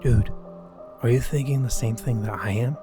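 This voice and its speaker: A man's voice: mid-range voice, normal speed, dark tone, faint music behind, natural voice. A man voicing a drunk, guarded village friend with a stammering, loose delivery in a told story. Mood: wary, hushed. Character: drunk village friend, wary male companion with rifle.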